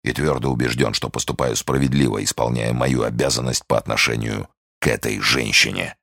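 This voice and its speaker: A man voicing a pitiless executioner who speaks low, slow and quietly. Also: fairly even intonation, no room sound, studio quality, heavily acted. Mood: grim calm. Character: grim executioner, calm and pitiless.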